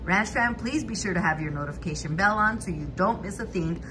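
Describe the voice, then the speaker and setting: A woman's voice: medium pitch, animated, brisk, background noise. A woman hosting a couponing channel, walking through store deals in a methodical voice-over, price by price.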